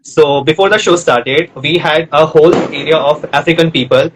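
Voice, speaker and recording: A man's voice: on the high side, fairly even intonation, moderate pace, rough recording. A man from North India hosting a live online interview, chatty and friendly, rambling with fillers as he asks his questions.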